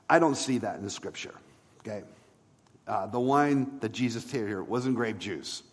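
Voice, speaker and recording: Male pastor, mid-pitched voice, conversational sermon delivery, emphatic pauses, long hall reverb. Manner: conversational preaching, rhetorical questions, emphatic pauses.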